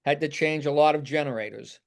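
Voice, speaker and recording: A man speaks in a smooth, resonant voice pitched a little high. He shares his experience informally and tactfully with a group of fellow hobbyists. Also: brisk. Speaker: club member sharing his experience. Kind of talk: casual group discussion. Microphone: conference call.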